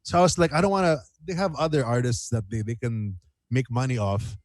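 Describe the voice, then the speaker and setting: A man chatting casually as a singer-songwriter guest in an interview, animated and rambling, repeating phrases for emphasis. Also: mid-range voice, brisk, no echo. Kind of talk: casual radio interview chat.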